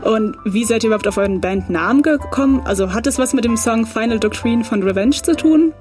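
A woman's voice: fairly high pitch, fairly quick, darkish tone, faint music behind. A woman hosting a radio show, interviewing a band with upbeat, chirpy remarks. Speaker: radio host.

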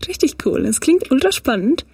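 A woman's voice: sits high, very animated, moderate pace, darkish tone, dry recording, distant mic. A woman host introducing a guest and reading out her interview questions, with short enthusiastic replies. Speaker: radio host.

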